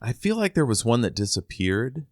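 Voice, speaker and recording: A man co-hosting a podcast, casually recapping and discussing a book in a halting way. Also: medium pitch, sing-song, darkish tone, no room sound, studio quality. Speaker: podcast co-host.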